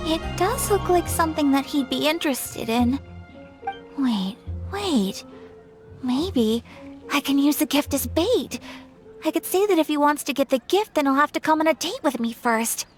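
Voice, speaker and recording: A very high-pitched, lovestruck girlish schemer. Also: unhurried, long pauses, music in the background. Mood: scheming. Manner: excitable, dramatic. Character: lovestruck, scheming, excitable young woman. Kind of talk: anime video game voice acting.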